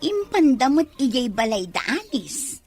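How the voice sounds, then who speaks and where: A woman's voice: high-pitched, very animated, measured pace, darkish tone, soft background music, heavily acted. A woman playing a mocking, curious village gossip in an audio drama, inquisitive and catty. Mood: nosy. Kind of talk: Ilocano audio drama acting.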